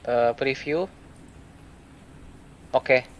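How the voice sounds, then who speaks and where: A young adult man gives a step-by-step software tutorial, speaking in short, halting phrases with pauses. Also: medium pitch, fairly even intonation, muffled recording. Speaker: student presenter giving a software tutorial. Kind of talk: step-by-step screen tutorial, unscripted. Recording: clear background noise.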